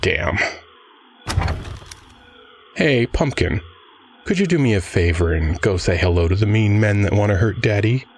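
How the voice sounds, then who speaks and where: A man playing a swaggering, smug billionaire crime-boss father in an audio drama, with a husky, drawling, mock-affectionate delivery. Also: fairly low pitch, expressive, a little slow, long pauses, a little hiss or hum. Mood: menacing.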